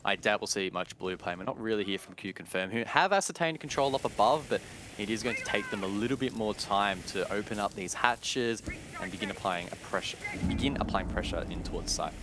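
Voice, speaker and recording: A man giving measured, analytical esports commentary, explaining play as a broadcast caster. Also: lowish voice, noisy background. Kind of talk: analytical live game commentary.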